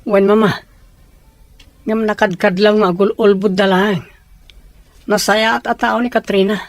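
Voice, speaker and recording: A woman's voice: medium pitch, steady tone, measured pace, no echo, faint music behind, close to an everyday voice. A woman playing a reluctant, exasperated young man in an Ilocano audio drama, protesting earnestly. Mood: worried.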